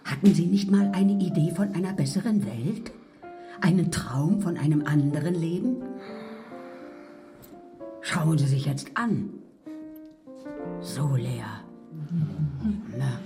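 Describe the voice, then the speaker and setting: A woman's voice: sits low, animated, takes it slowly, long pauses, music in the background. A woman delivering a theatrical monologue straight at the listener in a scornful, berating manner. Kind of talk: theatrical monologue addressing the audience.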